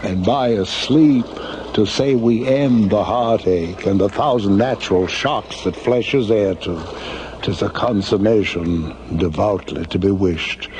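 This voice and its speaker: A man acts a suave mastermind villain in a science fiction radio play. His lowish voice is theatrical and sardonic, full of polished menace, over clear background noise. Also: measured pace, natural voice. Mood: theatrical, pleased. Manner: grandiose, sardonic, commanding. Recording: noisy old radio drama recording.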